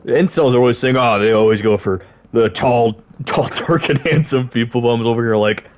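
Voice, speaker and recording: A man's voice: medium pitch, a little fast. A man chatting casually while playing a game, joking, swearing and laughing often. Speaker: gamer. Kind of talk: casual gaming chat. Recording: dull lo-fi sound.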